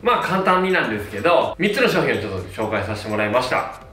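A man in his thirties, a hairstylist, casually reviewing hair products with a relaxed, filler-heavy manner. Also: mid-range voice, fast talker, far from the mic. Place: small plain indoor studio. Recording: roomy sound, mic set back, faint music.

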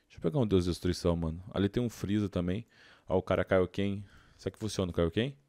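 A man's voice: low-pitched, fast, warm tone, no echo. A Brazilian man doing casual gameplay commentary, informal and slangy, thinking aloud into a close mic. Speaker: gaming YouTuber.

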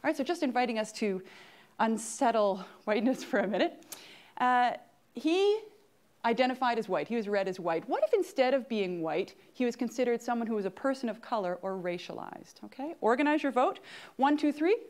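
A woman's voice: on the high side, animated, a little fast, darkish tone. A woman in her forties with a Canadian accent, giving an interactive lecture on an auditorium stage and often checking in with the audience. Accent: Canadian English. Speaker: guest speaker at grand rounds.

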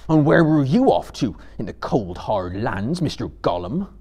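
A man acts out a gruff hobbit servant from a fantasy storybook. He growls with husky indignation, scolding suspiciously in folksy turns, his pitch jumping about. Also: sing-song, a little slow, darkish tone, full character voice. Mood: angry scolding. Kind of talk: dramatic reading of a fantasy novel.

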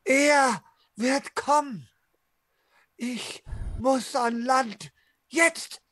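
A man voicing a terrified, confused woman who pleads in halting, repeated phrases during a tabletop roleplay. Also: extremely high pitch, fairly bright tone, no room sound, pitched above the performer's own voice, exaggerated voice. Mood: frightened, pleading.

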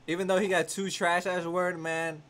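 A man hosting a reaction video in a dim room, talking excitedly with slang and swearing. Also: high voice, moderate pace, a little hiss or hum. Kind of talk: casual reaction commentary. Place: dim room, gaming chair.

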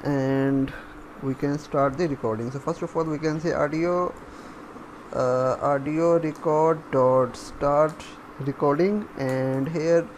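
Man, coding instructor, slow halting delivery, step-by-step screencast narration, faint background noise. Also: fairly high pitch, steady tone, darkish tone. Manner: halting, thinking aloud while typing. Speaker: programming tutorial instructor.

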